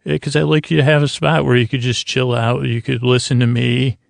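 A man hosting a sleep podcast in a meandering, halting, self-deprecating bedtime monologue, with a gravelly creak in his voice. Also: mid-range voice, little pitch movement, no room sound. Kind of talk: rambling, improvised bedtime monologue.

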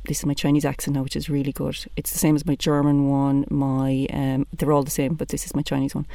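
A woman's voice: sits low, monotone, a little fast, gravelly, dark tone, no echo. A woman in her thirties with an Irish accent, doing a chatty, blunt stand-up monologue. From Ireland. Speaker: comedian.

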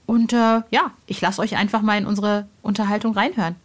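A woman presents a podcast in a casual, chatty style. Her pitch sits in the middle for a woman, and she talks colloquially with bubbly informality, as if to regular listeners. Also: fairly even intonation, a little fast. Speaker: podcast host.

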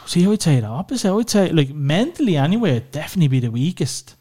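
An Irish man with a voice sitting a bit higher than most men speaks candidly about his family and his kids. He talks in loose, rambling runs, full of "like" and "you know", with frequent swearing. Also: big pitch swings, quick pace, warm tone. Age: adult father of children. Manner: open, rambling, heavy use of fillers and swearing. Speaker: podcast guest. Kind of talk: candid personal conversation.

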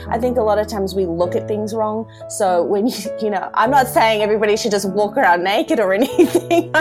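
A woman's voice: medium pitch, brisk, music in the background. A woman, a guest artist in a casual interview, laughing often and using lots of fillers. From Iceland, raised in Seattle.

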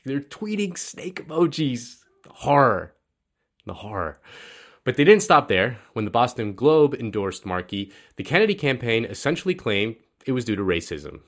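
A man's voice: mid-range voice, big pitch swings, moderate pace, a bit bright. A male host giving sarcastic political commentary, mocking and wry as he reads quotes. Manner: sardonic, mocking.

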